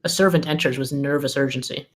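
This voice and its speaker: A male narrator reads stage directions and scene headings from a script. His pitch sits in the middle, and the delivery is scripted storytelling. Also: steady tone, fast talker.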